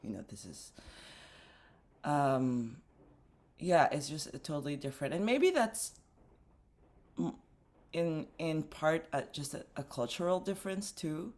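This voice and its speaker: Young female dancer-director from the Philippines, strikingly low voice, thoughtful, hesitant delivery. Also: lively intonation, measured pace, long pauses, darkish tone. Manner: reflective, lots of fillers and restarts. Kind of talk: casual interview conversation.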